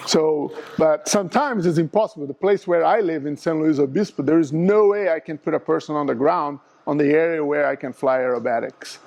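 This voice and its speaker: A man's voice: fairly high pitch, brisk, creaky, hardly any pauses, a little mellow. Brazilian-accented man presenting a talk. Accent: Brazilian Portuguese. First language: Portuguese. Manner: explanatory, asks audience for agreement. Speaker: aerospace engineer presenting to a flying club.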